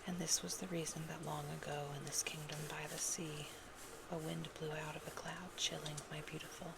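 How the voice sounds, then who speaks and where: A woman gives a slow, gentle ASMR poetry reading in a breathy, intimate whisper. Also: low-pitched, flat delivery. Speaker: ASMR roleplay host reading love poems. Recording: loud ambient noise, crackling fireplace ASMR sounds. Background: fireplace ambience, scratching and tapping.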